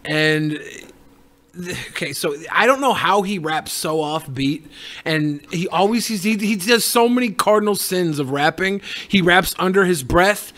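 A man's voice: high-pitched, unhurried, a bit bright. A man co-hosting a podcast, giving casual, joking commentary in slangy, sweary language with mock exasperation. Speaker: podcast co-host who raps.

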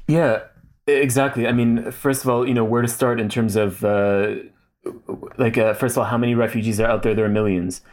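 A man's voice: mid-range voice, fairly even intonation, brisk, darkish tone. A man in his thirties, a guest in a casual interview, speaking thoughtfully with many fillers and restarts. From Saudi Arabia.

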